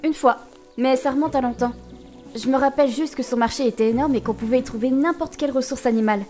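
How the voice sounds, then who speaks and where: A spirited young woman adventurer, voiced by an actress in a fantasy audio drama. Her voice is on the high side, and she talks in a chatty, informal, expressive way, with faint music behind her. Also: quick pace, lightly acted. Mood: chatty, curious.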